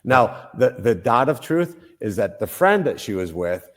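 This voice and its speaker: A man's voice: mid-range voice, big pitch swings, a little slow. An older man from Colombia, a senator speaking as a guest in a political interview, emphatic, with frequent restarts and repeated phrases.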